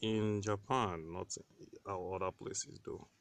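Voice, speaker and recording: A man co-hosting a casual podcast about manga and anime who talks excitably, teases his co-host and swears freely. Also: fairly low pitch, unhurried, no echo. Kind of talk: casual chat.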